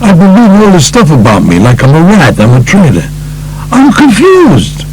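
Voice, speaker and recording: A man's voice: a little high, huge pitch swings, moderate pace, a little mellow, slight background noise, pitched above the performer's own voice, rough recording. A man voicing a blunt, streetwise mobster telling his story in an audiobook. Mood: nervous, agitated. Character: streetwise male mobster recounting his testimony. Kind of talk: audiobook character reading.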